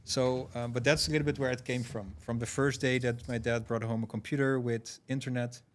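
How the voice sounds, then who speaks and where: A man from Curaçao, a guest on a podcast, telling stories in a thoughtful, relaxed way with many fillers. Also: mid-range voice, fairly quick, a little hiss or hum. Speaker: podcast guest, payments founder. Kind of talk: casual podcast conversation.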